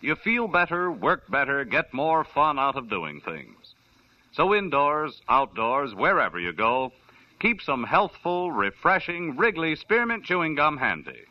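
A man's voice: a little high, sing-song, a little slow. A man working as a radio announcer, reading ads and credits in a polished, upbeat style. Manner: polished, upbeat, sing-song delivery. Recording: dull old radio broadcast.

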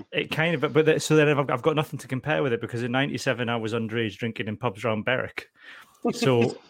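A man's voice: medium pitch, steady tone, fast. A middle-aged man hosting a casual podcast, jokey and chatty, often restarting his sentences. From Scotland. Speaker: podcast host.

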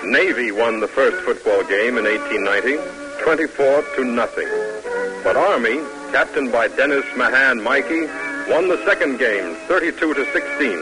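A man delivers formal, dramatic narration in 1950s radio style. His voice sits at a medium pitch and his phrasing is measured. Music plays clearly behind him. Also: poor audio.

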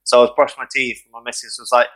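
Bright voiced guy cohosting, jokey, tells stories, casual. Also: medium pitch, very fast. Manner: jokey, animated storyteller. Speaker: co-host, mental health support worker. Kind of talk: casual podcast chat.

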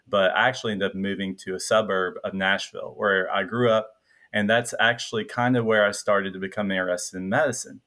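A man in his twenties with a light Tennessee Southern twang, a guest telling his story in a relaxed, reflective way with frequent fillers. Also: on the low side, brisk. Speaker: guest, incoming psychiatry resident. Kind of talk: casual interview chat.

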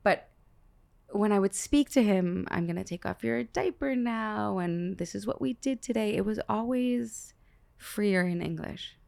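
A woman's voice: medium pitch, measured pace, creaky. A woman in her thirties hosting an interview, musing aloud in a casual way and stammering a little as she begins her thoughts. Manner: warm-hearted, musing, stammers at starts. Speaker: podcast host.

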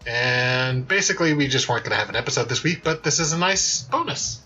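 Dull-sounding recording, bright animated man. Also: medium pitch, fairly quick, slight background noise. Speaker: podcast host. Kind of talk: casual episode introduction. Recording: slightly dull, lo-fi audio.

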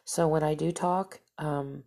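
Low, gravelly, stuffy-nosed woman host. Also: fairly even intonation, a little slow, warm tone. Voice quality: stuffy, nasal. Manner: rambling, with fillers and asides. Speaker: podcast host. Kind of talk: casual storytelling monologue.

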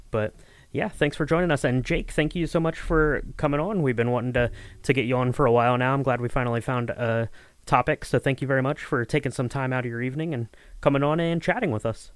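A man's voice: medium pitch, clean tone, no room sound. A man co-hosting a podcast in casual fan chat, with a rambling, hesitant way of talking. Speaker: podcast co-host.

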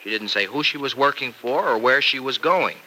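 A man's voice: medium pitch, fairly quick, bright, forceful tone, low-quality recording. An American actor playing an earnest, teasing young farm man in a mid-century radio drama, with folksy, slangy speech. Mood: explaining. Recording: old radio recording with faint hiss.

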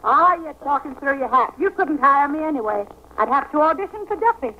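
A dull old radio recording carries a brash comic singer. Her voice is very high, and she delivers sardonic, reluctant retorts in 1940s comedy fashion. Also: animated, brisk.

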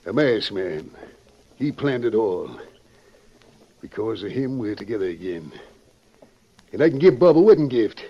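A man's voice: lowish voice, big pitch swings, a little slow, pauses often, warm tone. An actor playing an earnest, wronged family man in an old radio western. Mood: relieved. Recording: dull vintage radio recording, faint noise.

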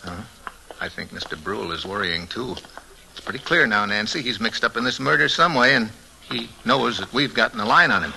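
An actor with an American accent playing a brash, wisecracking amateur sleuth husband in an old radio mystery, breezy and prone to stammer when rattled. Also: male voice, on the low side, lively intonation, brisk, creaky, bright, forceful tone, a little hiss or hum, heavily acted, low-quality recording. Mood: bluffing, thoughtful. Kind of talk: 1940s radio mystery acting. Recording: old radio drama with music.

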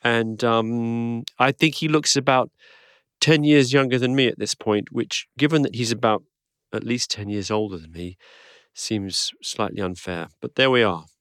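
Male podcast host, croaky from illness, dry wit, studio-dry sound. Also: mid-range voice, unhurried, a bit bright, no room sound. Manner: dry self-deprecating humor. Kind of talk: podcast intro and interview.

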